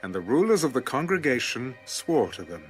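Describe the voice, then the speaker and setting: Expressive male scripture narrator over music. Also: medium pitch, moderate pace.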